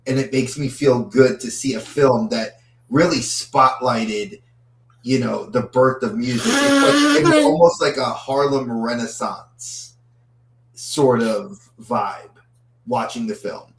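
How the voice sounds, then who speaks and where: Man, podcast co-host, animated casual chat, stammers into sentences, echoey room, mid pitch. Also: slow. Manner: animated, repeats words when starting thoughts. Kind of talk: casual movie-review chat. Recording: roomy echo.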